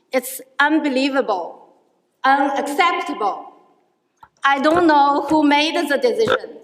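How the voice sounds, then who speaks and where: Middle-aged woman with a Chinese accent, high voice, careful, halting rally speech, echoey hall. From China. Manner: halting, careful, with frequent restarts. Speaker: adult education student speaking at a rally. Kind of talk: prepared public speech. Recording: echoey hall with long reverb.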